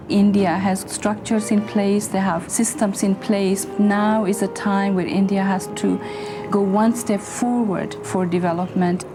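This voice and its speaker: Earnest woman explaining, music beneath. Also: mid-range voice. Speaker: institute co-founder. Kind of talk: documentary interview.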